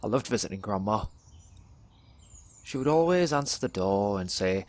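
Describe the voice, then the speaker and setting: A young man performs a dramatic spoken word monologue in a measured, deliberate storytelling way, pausing between phrases. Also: on the low side, animated, a little mellow, no room sound. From England. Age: young adult.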